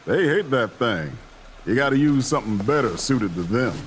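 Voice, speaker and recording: Folksy, lively-voiced male confidant, played by an actor. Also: mid-range voice, normal speed, slight background noise, close to an everyday voice. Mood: reassuring, frank. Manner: folksy, gently coaxing, reassuring. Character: folksy, warm-hearted older mentor friend, gently teasing. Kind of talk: audio drama acting. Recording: music underneath.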